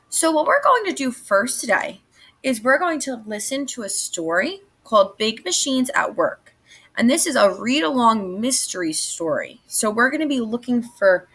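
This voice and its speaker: A woman's voice: a little high, fairly bright tone, husky. A woman teaching young children, giving instructions and guiding questions in a read-aloud lesson. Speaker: elementary school teacher.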